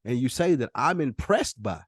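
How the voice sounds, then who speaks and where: A man's voice: mid-range voice, big pitch swings, normal speed, no echo, clean studio sound. An American man co-hosting a podcast, talking casually in an earnest, emphatic, slangy way and often repeating himself for emphasis. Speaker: podcast co-host. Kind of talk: casual conversational podcast talk.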